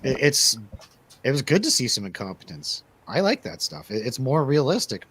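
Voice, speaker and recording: Mid-pitched man giving opinionated movie talk. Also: very animated, moderate pace, a bit bright, slightly rough. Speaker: movie review panelist. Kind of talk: casual opinionated movie chat.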